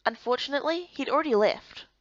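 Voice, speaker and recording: A young woman reads a story game in a theatrical, expressive way. Her voice is fairly high and very animated, captured dry and close. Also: quick pace, no room sound, muffled recording. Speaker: voice actor narrating and playing the heroine. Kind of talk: expressive dramatized reading of a visual novel game.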